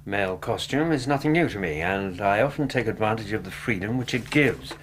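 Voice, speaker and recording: An actor playing a loyal, genial middle-aged gentleman who narrates a radio drama, measured and thoughtful, with an English accent. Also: fairly low pitch, lively intonation, a little hiss or hum, natural voice. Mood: measured, reading aloud.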